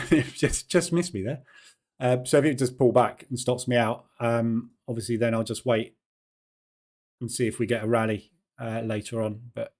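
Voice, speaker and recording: Male host of a live trading room, relaxed and warm in tone, talks it through conversationally. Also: medium pitch. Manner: chatty, explains plainly with "yeah" tag questions.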